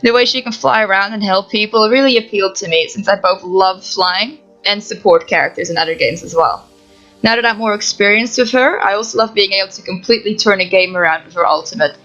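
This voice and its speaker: A woman's voice: mid-range voice, fairly quick, a bit bright, lo-fi sound, soft background music. Young woman with a Dutch accent. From Netherlands. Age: young adult. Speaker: gaming YouTuber. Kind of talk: reading Q&A answers to camera, no face cam. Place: home setup, voice-over without camera.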